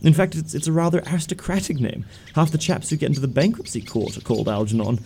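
A man playing a smitten young upper-class gentleman in an audio stage comedy, gushing and stammering as he pleads. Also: fairly high pitch, fast talker, slightly rough. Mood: anxious coaxing.

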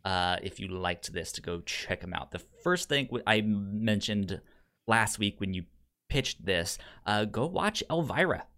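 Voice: sits low, expressive, unhurried, fairly bright tone. A podcast co-host chatting casually about films, often stammering and repeating syllables and words. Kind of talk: casual conversational film chat.

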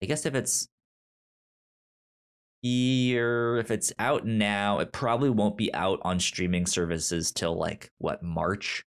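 Halting, stammering man chatting casually. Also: fairly low pitch, clear, resonant tone. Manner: halting, stammering, repeats words and trails off. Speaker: podcast co-host. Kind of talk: casual pop-culture podcast chat.

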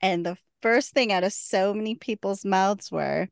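A woman's voice: medium pitch, moderate pace, vocal fry, clean tone, no echo. Woman, podcast co-host, casual chat, laughs often, dry recording.

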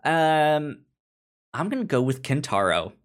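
A man co-hosting a podcast in casual chat, animated, with halting, repetitive phrasing. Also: a little high. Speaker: podcast co-host.

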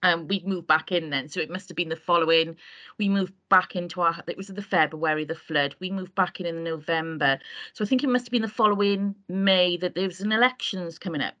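A Welsh woman from Wales, a community councillor, talking earnestly in a casual interview and pausing often as she gathers her thoughts. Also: lowish voice, fairly bright tone, highs cut off. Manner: earnest, meandering with frequent hesitations.

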